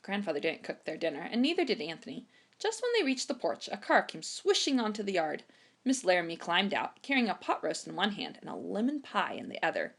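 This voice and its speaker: A woman reading a children's chapter book aloud in an expressive storytime narration style. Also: on the low side, brisk, gravelly. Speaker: storytime reader.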